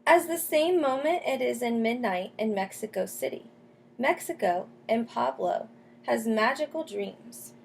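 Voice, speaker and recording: A woman teacher reading a picture book aloud to children, pausing to explain and ask questions. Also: fairly high pitch, expressive, gravelly, a little hiss or hum. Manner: explains and asks questions as if to young listeners. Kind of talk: storybook read-aloud with comments to children.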